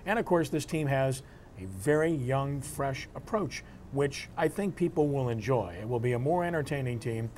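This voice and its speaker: A man's voice: mid-range voice, normal speed, darkish tone, a little hiss or hum. A man hosting a sports talk show, presenting and discussing in a broadcast style. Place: live remote broadcast from a local venue.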